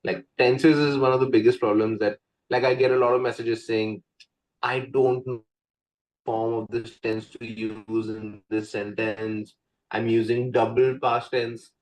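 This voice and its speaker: Man, young adult, Indian accent, Hindi native, casual interview talk, lots of "right?", middle pitch. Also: level tone, a little slow, darkish tone, poor audio. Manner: chatty, frequent "like" and "right?" fillers. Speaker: guest English coach.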